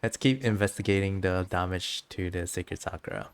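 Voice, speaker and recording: Solemn, creaky-voiced goddess ruler, read by a streamer. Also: low-pitched, normal speed. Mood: resolute. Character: solemn, ancient female ruling god, grieving yet resolute. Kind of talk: reading video game dialogue aloud.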